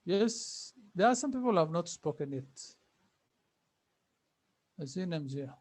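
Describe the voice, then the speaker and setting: A high-pitched man teaches a class. He repeats each phrase slowly and keeps asking his students questions. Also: big pitch swings, a little mellow. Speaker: Arabic teacher.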